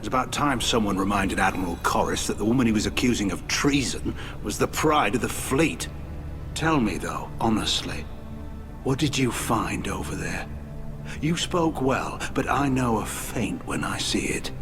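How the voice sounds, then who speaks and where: A military alien admiral in a video game. He is blunt, shrewd and hearty, with animated, forthright speech. Clear music runs behind the voice. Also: fairly low pitch, normal speed. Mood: approving, probing.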